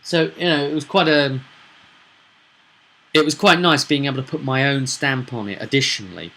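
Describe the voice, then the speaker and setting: Bright-voiced British man, halting and emphatic. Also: medium pitch. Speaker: tabletop game master, vlog host. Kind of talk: casual explanatory vlog about a roleplaying session. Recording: noticeable background noise, whirring fan.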